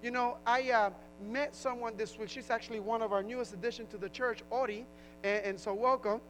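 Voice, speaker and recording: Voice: mid-range voice, steady tone, a little fast, fairly bright tone, slight background noise, low-quality recording. A pastor from Puerto Rico with a New Jersey accent, preaching a sermon in a conversational way, often restarting phrases and rising into emphasis.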